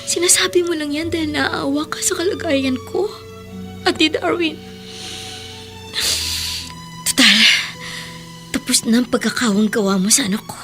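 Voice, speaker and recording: A woman's voice: high-pitched, normal speed, fairly bright tone, faint music behind. An actress playing a proud, wounded mother and former bride in a radio drama, reproachful and intense. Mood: defiant, hurt. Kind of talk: Tagalog radio drama acting.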